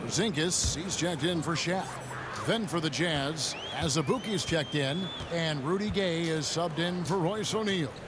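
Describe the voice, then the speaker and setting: A man's voice: a little high, a little slow, noisy background. A man calling basketball play-by-play as commentary in a video game. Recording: loud music and bouncing basketballs behind. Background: music, basketball bounces.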